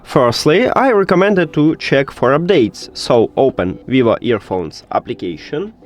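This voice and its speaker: A man's voice: mid-range voice, very animated, a little slow. A man who speaks English as a second language, presenting a step-by-step tech tutorial and sometimes searching for his words. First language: not English.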